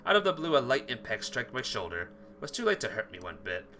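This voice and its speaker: A man's voice: mid-range voice, very animated, fast. A man streaming a game, reading visual novel text aloud with casual asides and stumbling now and then as he reads. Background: soft plucked guitar music.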